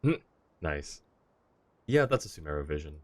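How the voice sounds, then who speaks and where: A man's voice: a bass voice, sing-song, moderate pace. A young man streaming a game live, commenting casually and chatting with his viewers.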